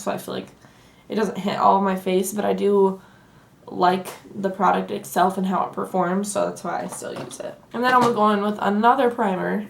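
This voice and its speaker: A young woman with a Southern accent, hoarse from allergies, chatting casually through a makeup tutorial. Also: mid-range voice, slight background noise. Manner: chatty and relaxed, says "like" often. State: hoarse from allergies, losing her voice. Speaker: beauty vlogger. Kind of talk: casual get-ready-with-me tutorial.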